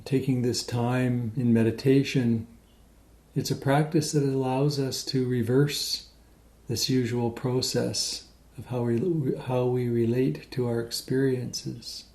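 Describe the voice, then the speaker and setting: A man leading a guided meditation in a gentle, unhurried way with many pauses. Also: medium pitch, fairly even intonation. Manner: slow, pausing, gentle delivery.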